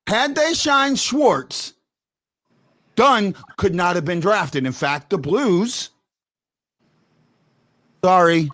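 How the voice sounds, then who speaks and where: A loud, excitable man hosting a fan talk show, rambling and restarting his sentences as he argues. His voice sits a bit high for a man, and he talks into a mic while wearing headphones. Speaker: podcast host. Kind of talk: heated hockey fan call-in talk.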